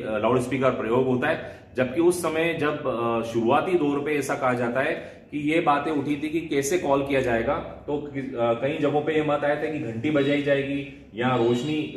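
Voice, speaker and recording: Indian man lecturing in an echoey room. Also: mid-range voice. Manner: didactic, restating terms in English and Hindi. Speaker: law lecturer. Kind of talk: explanatory video lecture. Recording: echoey room, faint background noise.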